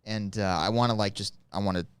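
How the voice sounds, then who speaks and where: A man's voice: on the low side, brisk, fairly bright tone, clear, resonant tone, dry recording. A man co-hosting a comedy talk show in casual, wry, joking banter.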